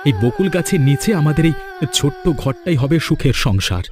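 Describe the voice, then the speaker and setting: A man's voice: fairly high pitch, big pitch swings, moderate pace, music in the background. A man voicing an earnest, devoted young husband and son in a fairy-tale cartoon. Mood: reassuring.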